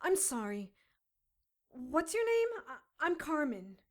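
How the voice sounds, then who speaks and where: Defiant female witch prisoner, highish forceful voice, slower deliberate pace, sassy, hot-headed, audio drama. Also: big pitch swings, exaggerated voice. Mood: concerned, indignant. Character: feisty captive witch heroine, brash and defiant.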